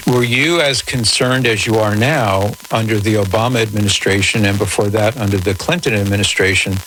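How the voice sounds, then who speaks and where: A man hosting a radio call-in show, answering in a measured, articulate, conversational way with formal wording. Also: fairly low pitch, fairly bright tone. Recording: noisy radio broadcast with static.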